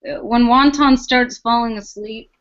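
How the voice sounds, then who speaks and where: A woman's voice: a little high, unhurried, fairly bright tone. A woman organizing an event on a video call, friendly and chatty, with lots of fillers. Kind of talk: casual video-call chat. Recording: muffled lo-fi call audio.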